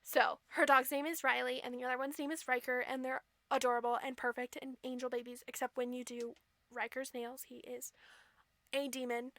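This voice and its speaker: A woman's voice: high-pitched, level tone, a little fast, a bit bright, no echo. A woman co-hosting a podcast with casual comedic banter, playful, exclamatory and freely swearing. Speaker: podcast co-host.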